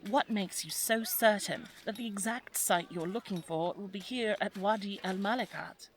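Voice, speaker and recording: An actress playing an inquisitive, dutiful, wry young daughter of a 1920s English archaeologist in an audio drama, with a polite, articulate, dry delivery. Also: female voice, mid-range voice, moderate pace, a little hiss or hum, close to an everyday voice. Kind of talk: scripted audio drama acting.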